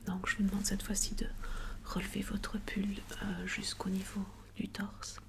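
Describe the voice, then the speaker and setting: A woman playing a calm, attentive doctor in an ASMR medical roleplay, whispering gently and methodically. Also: medium pitch, a little slow, natural voice. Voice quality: breathy whisper. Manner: gentle, methodical, polite and formal. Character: calm, attentive female doctor examining a patient.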